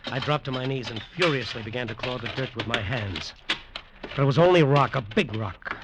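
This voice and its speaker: Actor as tense hard-boiled adventurer, old radio. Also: male voice, medium pitch, a little fast, dull audio, noisy background, close to an everyday voice. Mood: tense, brooding. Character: desperate, greedy resort builder narrating his own downfall. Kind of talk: old-time radio suspense acting and first-person narration. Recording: old radio recording with music.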